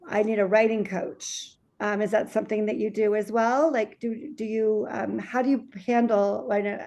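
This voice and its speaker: A woman's voice: medium pitch, flat delivery, moderate pace, darkish tone. A woman co-hosting a group call, asking questions in a rambling way with many restarts.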